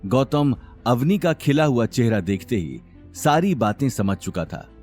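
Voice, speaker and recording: A male storyteller narrates a scripted drama with expressive, dramatic delivery. His mid-range voice moves in animated swings of pitch, and soft music plays underneath. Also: measured pace.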